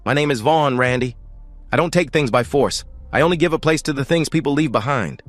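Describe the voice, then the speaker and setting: A man voicing a calm, smooth-voiced cult leader who speaks down to a worried father. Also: medium pitch, fairly quick, no room sound, soft background music, pitched above the performer's own voice, close to an everyday voice. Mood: calm, amused.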